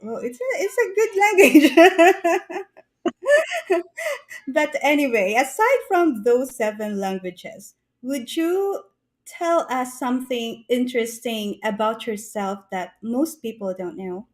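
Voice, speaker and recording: A woman's voice: high-pitched, lively intonation, takes it slowly. A woman hosting a live-streamed interview, friendly and eager, laughing often. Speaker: host.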